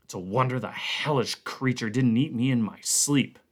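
A man voicing an angry, frightened expedition member who swears and snaps in a raised, gruff voice. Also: mid-range voice, normal speed, close to an everyday voice.

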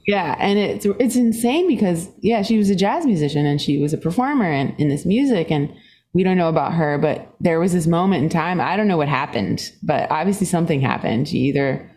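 A woman born in Singapore, a guest musician telling stories in a podcast interview, animated and laughing often, her pitch jumping up as she talks. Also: fairly quick.

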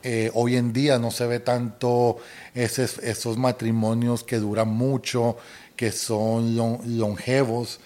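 A man's voice: mid-range voice, slow. Easygoing colombian guy, middle-aged, light accent, chatting with his wife, lots of ehs and restarts. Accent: Colombian, softened. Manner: relaxed, rambling with restarts and fillers. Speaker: co-host. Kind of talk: casual couple's chat.

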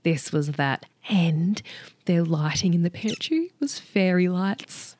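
A woman's voice: fairly low pitch, very animated, slow pace, darkish tone, dry recording. A woman co-hosting a podcast in casual chat, bubbly and playful, laughing often. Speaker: podcast co-host.

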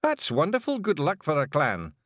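A man voicing a tiny, fierce male pixie clan chief with a gruff, blustering Scottish accent. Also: a little high, huge pitch swings, moderate pace, fairly bright tone, dry recording, clean studio sound, pitched above the performer's own voice. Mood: earnest. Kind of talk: audiobook character voice.

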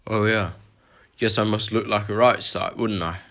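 Giant groundskeeper played by a male reader: loud, rustic, gruff-hearted, deep rumble. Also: fairly even intonation, normal speed. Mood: hearty. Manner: loud, rustic dialect, rumbling growls when angry. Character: huge gruff friendly giant man, groundskeeper.

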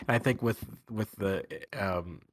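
A man on an online research panel, talking fast and loosely with many fillers. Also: fairly low pitch, dry recording, studio quality. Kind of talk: casual online talk.

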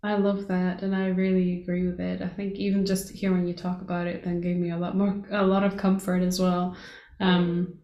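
A woman from Portugal hosting a casual podcast interview, affirming her guest and often pausing with "um". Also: lowish voice, completely flat delivery, mellow, lo-fi sound. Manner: affirming, lots of "um" fillers. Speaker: podcast host. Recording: echoey room.

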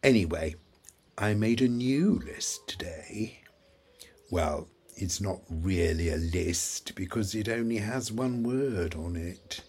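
Actor as a halting, forgetful old man. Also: low-pitched, lively intonation, darkish tone, slight background noise, full character voice. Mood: musing, searching. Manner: halting, deliberate, spelling words out slowly. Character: forgetful, childlike, playful elderly husband with failing memory. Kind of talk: audio play acting.

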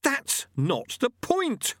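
Man as high, incredulous young apprentice. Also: wildly swooping pitch, moderate pace, fairly bright tone, no echo, clean studio sound, heavily acted. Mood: indignant. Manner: questioning, incredulous. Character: earnest, bewildered young male apprentice, easily indignant.

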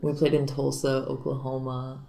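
A woman's voice: extremely low pitch, monotone, moderate pace, creaky, warm tone. A young woman from Miami, a guitarist and singer, chatting casually in an interview with many fillers. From Miami, Florida.

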